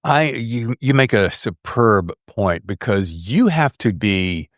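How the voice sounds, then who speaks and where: A man's voice: on the low side, animated, slow pace, dry recording, clean studio sound. A middle-aged man hosting a podcast interview in a casual, chatty and emphatic conversational style. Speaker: podcast host.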